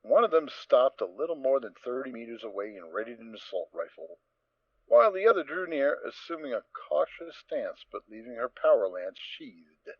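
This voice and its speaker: A man narrating a fan audio drama in an expressive storytelling manner. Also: mid-range voice, moderate pace, dry recording. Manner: expressive reading. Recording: dull, dry lo-fi recording.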